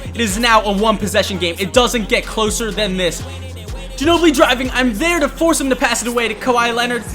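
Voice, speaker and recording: A man's voice: extremely high pitch, a bit bright. A man giving self-hyping play-by-play commentary on his own basketball video game, narrating each play in the present tense. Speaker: video gamer and channel host.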